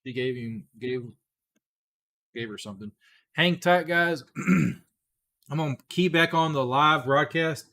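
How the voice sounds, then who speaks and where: Male sports stream host, forties, bright voice going hoarse, casual fan commentary, folksy and excitable. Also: a little high, unhurried, long pauses. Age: 40s. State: hoarse, losing his voice. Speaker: livestream host.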